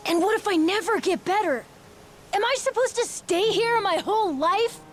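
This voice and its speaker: Earnest high-voiced young boy. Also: normal speed, faint music behind. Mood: defensive. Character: earnest, pleading ten-year-old boy, frustrated and stubborn. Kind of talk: video game voice acting.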